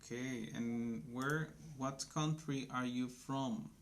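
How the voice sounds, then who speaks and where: A man in his thirties from Colombia teaches a live English class for beginners, talking very slowly and with a gravelly rasp. He keeps his words simple and chats with viewers by name. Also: medium pitch, fairly even intonation, slight background noise. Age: 30s. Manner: slow, simple speech for beginners; chatty, greets viewers by name. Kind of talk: live online English lesson.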